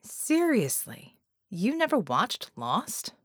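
A woman's voice: medium pitch, huge pitch swings, slow pace, breathy edge, no room sound, clean studio sound, heavily acted. A woman voicing a sly male shapeshifting impostor in an audiobook, suave, familiar and casually teasing.

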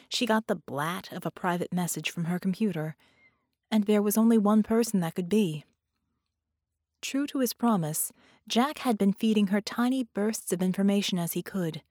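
A woman's voice: mid-range voice, darkish tone, no echo, clean studio sound. A woman reading scripted fiction as an audiobook narrator.

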